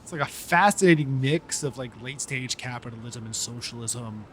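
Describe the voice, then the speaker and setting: A man's voice: mid-range voice, normal speed, noisy background. A man chatting casually as a player in a tabletop game. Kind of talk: casual improvised game chat.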